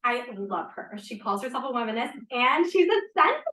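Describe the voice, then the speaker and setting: A woman's voice: a little high, big pitch swings, fairly quick, sharp tone. An actress playing an outspoken, impassioned 1980s woman activist in a table read of a play. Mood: warm. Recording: roomy echoing table read.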